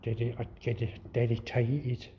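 A man filming himself as he walks through a dark abandoned cafe, talking casually to viewers and often restarting his words. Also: mid-range voice, completely flat delivery. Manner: halting, repeats words, mutters to himself. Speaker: urban explorer vlogger. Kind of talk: casual walkthrough narration. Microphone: handheld camera. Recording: dull lo-fi camera audio with noise.

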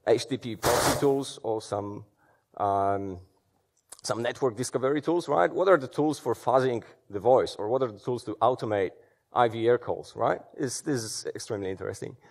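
Man, thirties, relaxed stage presenter who talks through restarts. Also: medium pitch, moderate pace, creaky. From Poland. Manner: conversational, many fillers and restarts. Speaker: security conference speaker. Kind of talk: conference talk. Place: large dark auditorium stage.

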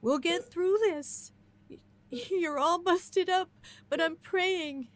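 A woman's voice: very high-pitched, slow pace. An actress playing a distraught young woman who pleads through tears for her badly injured father, in a radio drama. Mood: tearful pleading. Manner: tearful pleading.

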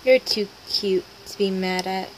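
A woman's voice: mid-range voice, unhurried. A woman voice acting short comic dialogue as an amateur dub, in a noisy home recording. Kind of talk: amateur dramatic comic dubbing.

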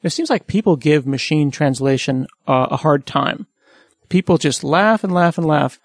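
A man's voice: on the high side, animated, moderate pace, no room sound, studio quality. A man hosting a podcast interview, chatting casually and telling anecdotes between questions. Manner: conversational, storytelling asides. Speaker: podcast host.

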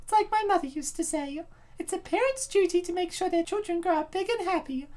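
A gentle princess mother played by a woman tells her story in a comic dub. Her voice is extremely high, and she narrates tenderly, as if from a storybook. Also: a little fast. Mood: tender, wistful.

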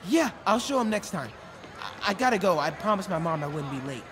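High-voiced eager teenage boy. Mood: excited. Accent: American. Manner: excitable, halting. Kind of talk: video game voice acting. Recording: noisy city ambience behind voice.

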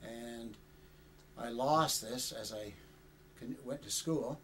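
An older man recounts his past in a halting, rambling way, full of fillers and soft chuckles. His voice sits at an ordinary middle pitch. Faint background hiss comes from an indoor interview setup. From Canada. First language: Chinese. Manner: rambling, hesitant with many uh's, chuckles often. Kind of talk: oral history interview. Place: indoor studio with flag backdrop.

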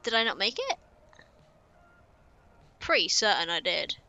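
A young woman talks her way through a building game, muttering and humming to herself as she goes. Her voice is bright and lively, rising and falling freely, and she takes her time. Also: medium pitch, measured pace, dry recording. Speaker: streamer. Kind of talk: casual gameplay commentary.

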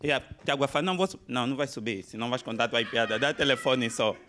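A man's voice: mid-range voice, quick pace, fairly bright tone, a little hiss or hum. A man in his thirties with an Angolan Portuguese accent doing colloquial, sweary stand-up on a club stage. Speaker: stand-up comedian. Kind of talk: stand-up comedy. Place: comedy club stage with audience. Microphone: handheld microphone.

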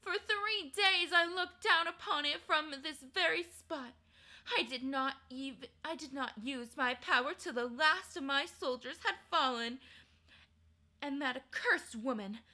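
A woman's voice: sits high, bright, forceful tone, voice pushed up, exaggerated voice. A woman voicing a proud, cruel ancient queen in a storybook reading, haughty and declaiming.

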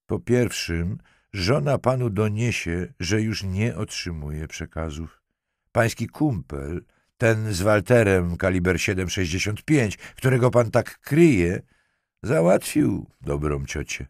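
A man's voice: sits low, slow pace, a little mellow, no echo, clean studio sound. A man voicing a stern, sarcastic police lieutenant in an audiobook, harsh and commanding, with threats in his words.

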